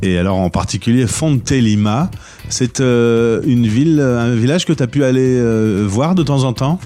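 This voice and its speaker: A man hosting a radio interview, asking questions in a relaxed way with some hesitations. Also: medium pitch, normal speed, soft background music. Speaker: radio host.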